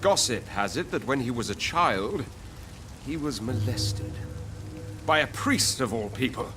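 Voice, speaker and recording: A man's voice: mid-range voice, animated, a little slow, close to an everyday voice. An actor playing an earnest, jittery night patrolman and vampire hunter in a video game, with a theatrical manner. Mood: guarded, confiding. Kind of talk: video game dialogue acting. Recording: game dialogue with music behind.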